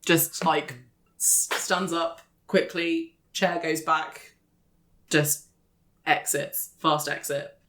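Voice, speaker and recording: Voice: medium pitch, little pitch movement, very slow, a bit bright. A tabletop player, explaining a character choice casually with fillers.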